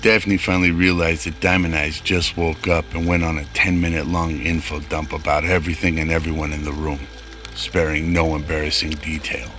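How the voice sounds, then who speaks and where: A man's voice: very deep voice, level tone, normal speed, a bit bright, soft background music. A man narrating a scripted sci-fi radio drama.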